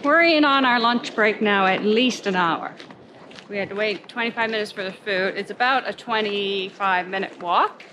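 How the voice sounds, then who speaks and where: A woman's voice: mid-range voice, moderate pace, bright, forceful tone, slight background noise, low-quality recording. A middle-aged woman chatting casually to a handheld camera outdoors as a travel vlogger. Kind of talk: casual vlog chat. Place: outdoors on boat, paths and open beach.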